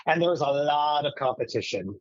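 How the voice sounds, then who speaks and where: A man's voice: on the high side, expressive, normal speed, dry recording, muffled recording. A middle-aged American man speaking as a guest in a casual interview, in a reflective, anecdotal way.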